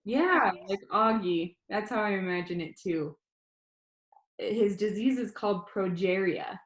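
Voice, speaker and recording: A woman reading a children's novel aloud, with gentle, chatty asides to a young listener. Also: medium pitch, a little slow. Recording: roomy echo.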